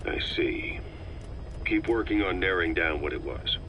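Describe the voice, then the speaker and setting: An actor playing a sceptical military commander in video game tape dialogue, with a blunt, terse, questioning manner. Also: male voice, low voice, animated, moderate pace, very noisy. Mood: doubtful, pondering.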